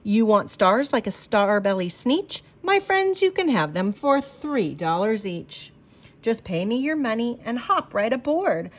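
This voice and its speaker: A woman's voice: fairly high pitch, very animated, measured pace. A woman voicing a slick, persuasive male salesman in a children's storybook read-aloud. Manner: showy, persuasive sales patter. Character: slick, fast-talking male salesman and fix-it huckster.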